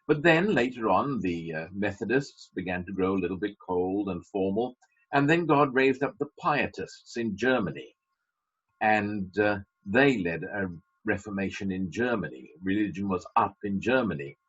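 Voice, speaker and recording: A man's voice: fairly low pitch, expressive, normal speed, a bit bright, slightly rough. A man preaching a sermon as a pastor, emphatic, with wry asides. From Britain. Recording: dull lo-fi sermon recording.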